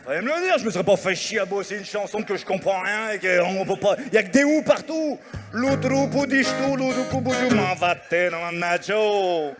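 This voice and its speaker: A man's voice: high-pitched, expressive, moderate pace. A man doing musical stand-up comedy on stage, singing parody songs and chatting with the audience in French with Italian words mixed in. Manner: sings and chats with the audience, mixing French and Italian. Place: stage before a live audience. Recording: live stage recording with loud guitar. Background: guitar accompaniment.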